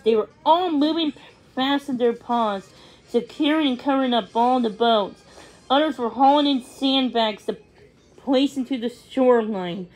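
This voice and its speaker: A woman reading a children's story aloud as a read-along storyteller, in a halting, word-by-word way. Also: a little high, darkish tone, rough recording.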